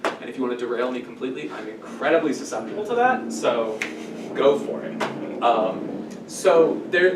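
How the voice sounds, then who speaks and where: An echoey, distant recording of a man presenting an informal workshop in a small meeting room. He is in his thirties and speaks at a middling pitch in a conversational, explanatory style. Noticeable noise sits behind his voice. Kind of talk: informal introductory lecture. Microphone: distant camera microphone. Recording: echoey room from afar, noisy.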